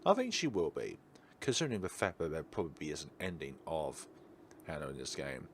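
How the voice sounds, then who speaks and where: A man's voice: rumbling low, wildly swooping pitch, fairly quick, a little hiss or hum. A man hosting a visual novel playthrough, reading the text aloud and mixing in casual, offhand remarks. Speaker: host of a game playthrough.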